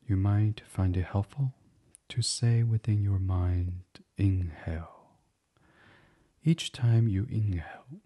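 A man guiding a bedtime meditation as a podcast host, speaking softly and soothingly in an unhurried, hushed, breathy way. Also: lowish voice. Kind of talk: guided meditation, gentle bedtime reading.

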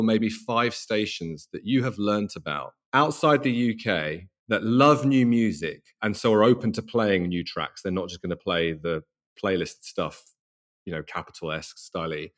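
A man hosting a podcast interview, chatty and playful, asking wordy questions in informal language. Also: on the low side.

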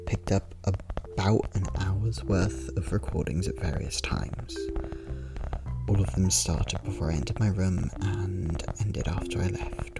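Performer as anxious podcast host in ASMR roleplay: slow, hesitant, soft and secretive, heavy vocal fry. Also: deep voice, heavily acted, low-quality recording. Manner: hushed, hesitant, halting, rambling. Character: nervous podcast host hiding from eavesdropping vampires. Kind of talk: quiet ASMR roleplay monologue.

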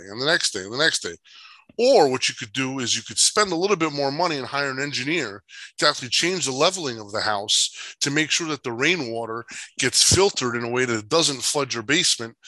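Male interview host, chatty and digressive, sharp forward tone, close dry sound. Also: mid-range voice, no echo. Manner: rambling, many fillers and restarts; uses long analogies. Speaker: podcast host. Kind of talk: casual interview chat.

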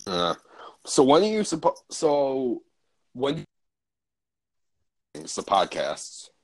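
A man's voice: medium pitch, huge pitch swings, slow, poor audio. A teenage boy in a casual chat, rambling and hesitant, often trailing off mid-thought. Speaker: co-host friend.

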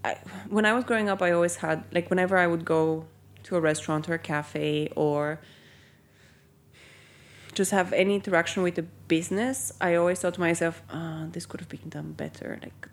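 A woman's voice: lowish voice, fairly even intonation, normal speed. A young woman from Bulgaria, a company founder, chatting in an interview with many ums and likes. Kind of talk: casual interview.

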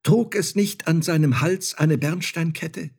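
A man's voice: a little high, a little slow, a little mellow, breathy edge, voice pushed up. A man voicing a ragged beggar woman who pleads with her lost son. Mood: pleading. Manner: pleading, imploring.

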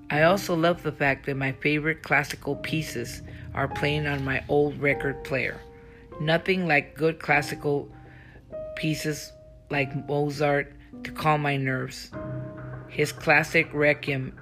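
A woman's voice: low voice, a bit bright. A woman reading as a fuming friend with a martini. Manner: bitter, fuming inner monologue.

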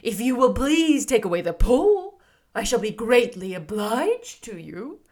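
A woman acts out a straw-stuffed storybook scarecrow, a naive and friendly fellow. His husky voice booms out slowly, exclaiming and stretching the words. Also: very animated. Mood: polite complaint. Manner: loud, drawn-out, exclaiming delivery.

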